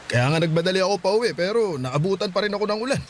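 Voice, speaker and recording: A man's voice: sits high, lively intonation, fast, slight background noise. An actor playing a hardworking father in his forties, speaking tenderly and reassuringly in a radio drama. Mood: gentle. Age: 40s. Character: hardworking widowed father, mid-forties, gentle.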